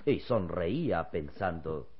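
A man's voice: deep voice, big pitch swings, takes it slowly, slight background noise. A man narrating a radio serial in an emphatic, theatrical style full of suspense. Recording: dull old radio recording with music.

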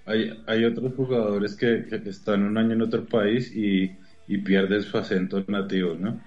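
A man's voice: fairly low pitch, level tone, moderate pace, darkish tone, highs cut off, soft background music. A man chatting easily about football with co-hosts. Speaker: sports talk co-host. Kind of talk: casual sports chat.

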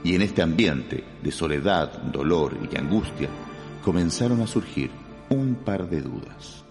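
A man's voice: deep voice, animated, unhurried, vocal fry. A man narrating a crime radio drama in a grave, suspenseful style. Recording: long reverb, music bed.

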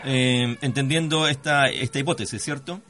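A man's voice: mid-range voice, normal speed, pushed and bright, soft background music. A man with a Chilean accent hosting a conversational radio interview, speaking formally and courteously with some hesitation. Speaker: radio host.

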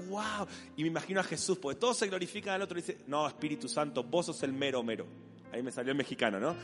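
A man with a Rioplatense Spanish accent preaching a sermon, animated and playful, acting out voices in an imagined dialogue. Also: fairly high pitch. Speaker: pastor. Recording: long hall reverb with faint music.

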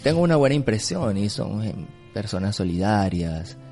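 Deep-voiced Venezuelan man in an interview. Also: very animated, moderate pace, dark tone, soft background music. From Caracas, Venezuela. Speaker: film festival director, interview guest. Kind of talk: spontaneous radio interview, opinionated explanation. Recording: clearly audible background noise.

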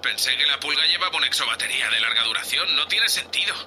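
A man's voice: medium pitch, lively intonation, quick pace, bright, forceful tone, soft background music. A man playing a nervous, hapless young spaceship crewman in an audio drama, stammering and hesitant. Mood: curious, focused. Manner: stammering, hesitant, breathy sighs.

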